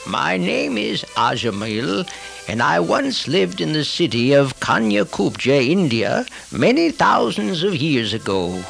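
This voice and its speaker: Man acting as remorseful fallen priest of ancient India, very gravelly, animated swooping pitch, solemn audiobook dramatization. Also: measured pace, faint music behind, exaggerated voice.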